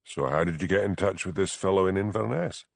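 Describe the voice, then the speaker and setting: A man reading an audiobook, voicing a calm, plain-spoken village policeman with a Scottish Highland accent. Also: fairly quick, vocal fry, no echo.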